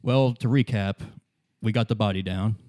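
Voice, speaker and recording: A man voicing a calm, methodical male police detective in a tabletop roleplay, dry and matter-of-fact. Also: lowish voice, moderate pace, dry recording, lightly acted. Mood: measured recap.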